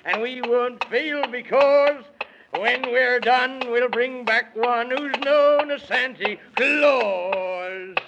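A man playing an excitable, fretful storybook companion in a rhyming 1930s children's radio serial, theatrical and exclamatory. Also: very high voice, very slow, gravelly, heavily acted. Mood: singsong, determined. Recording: noisy, muffled old 1930s radio recording.

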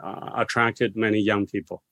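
A man in his sixties from China, a scholar of religion, giving measured, explanatory answers in an interview. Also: fairly low pitch, little pitch movement, fairly bright tone, no room sound.

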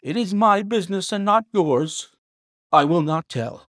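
A frightened male butler, put on by a male reader in a high, strained voice that climbs far above the teller's own. He pleads and dodges, his lines loud and tight with fear. An audiobook figure voiced in a dry, close sound. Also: lively intonation, measured pace, no room sound. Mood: defiant.

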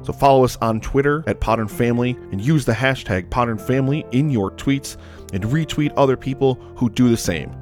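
Man reading a slow, earnest promo. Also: medium pitch, darkish tone, faint music behind. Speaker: promo announcer. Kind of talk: scripted promo read.

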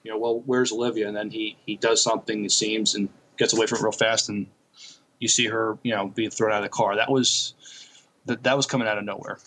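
A man's voice: lowish voice, flat delivery, fairly quick, fairly bright tone, a little hiss or hum, rough recording. A man co-hosting a podcast, chatting casually about television and often hesitating as he searches for words. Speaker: podcast co-host. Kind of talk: casual TV review chat.

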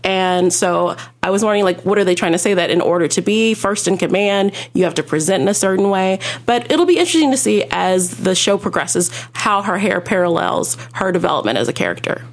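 A woman talking casually as a podcast panelist, with an expressive, conversational delivery. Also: mid-range voice, brisk, hardly any pauses.

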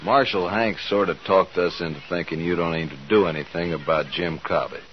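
A man's voice: sits low, steady tone, a little fast, fairly bright tone, low-quality recording. A man with a rural American accent playing a plain-talking, skeptical townsman in a 1950s radio western, blunt and drawling. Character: suspicious, plain-talking frontier townsman spokesman.

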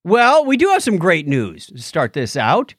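A man's voice: on the high side, wildly swooping pitch, a little slow, fairly bright tone, slightly rough, dry recording, studio quality. A man hosting a podcast in a casual, chatty style, bantering and joking as he goes. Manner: jokey, chatty. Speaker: podcast host. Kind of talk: casual news and birthdays banter.

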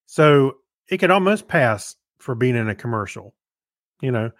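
A man's voice: mid-range voice, animated, normal speed, no room sound, clean studio sound. A man co-hosting a podcast, chatting casually and explaining things in an amused, conversational way. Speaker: podcast co-host. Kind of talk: casual chat.

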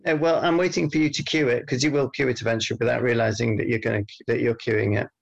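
A deadpan man co-hosting a comedy podcast, with dry comebacks in a gravelly voice. The sound is dull and a little lo-fi. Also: medium pitch, fast talker. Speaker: podcast co-host. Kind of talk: comic banter.

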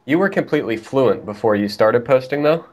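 A man's voice: medium pitch, lively intonation, fairly quick. A man in a mid-range voice trades crude, teasing banter as a podcast co-host. He ribs the guest in short, blunt jabs. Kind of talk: casual podcast chat.

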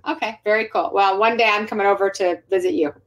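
A woman's voice: mid-range voice, fairly even intonation, fast. A woman in her forties hosting a casual interview, friendly and rambling as she tosses out questions. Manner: friendly, rambling, lots of fillers. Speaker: podcast host.

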